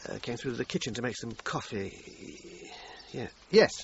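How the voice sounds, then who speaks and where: A man's voice: medium pitch, lively intonation, a little slow, creaky, dull audio, a little hiss or hum. An actor with a British accent playing a defensive, uneasy male suspect in a radio crime drama, speaking in a faltering, evasive way. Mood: hesitant, guarded.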